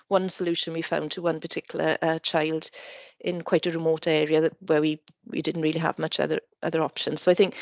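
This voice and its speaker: A woman council officer speaks in a lowish voice, answering questions at a committee meeting. She hesitates often and restarts her sentences. The sound is dry and close. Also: no echo. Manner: hesitant, many restarts and fillers.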